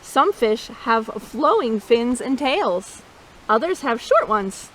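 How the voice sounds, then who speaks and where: A woman hosts a nature storytime for young children in a fairly high, very lively voice. She reads slowly and playfully, drawing listeners in with questions. There is some outdoor background noise with faint rustling. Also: low-quality recording. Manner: expressive, playful, asks listeners questions, imitates animal sounds. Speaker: storytime host, nature educator. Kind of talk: read-aloud children's nature storytime with asides.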